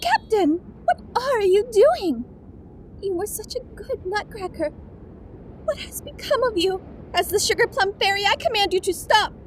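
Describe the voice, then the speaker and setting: A woman voicing a tiny, prim, bossy fairy with a small squeaky voice in an audio drama. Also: very animated, measured pace, slight background noise, heavily acted. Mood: indignant, commanding. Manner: prim, exclamatory.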